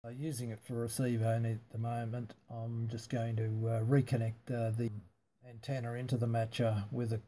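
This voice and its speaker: A man talking casually and haltingly through a hands-on technical demonstration, unscripted and matter-of-fact. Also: medium pitch, little pitch movement, mellow, slight background noise. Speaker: amateur radio hobbyist demonstrating antenna tuning.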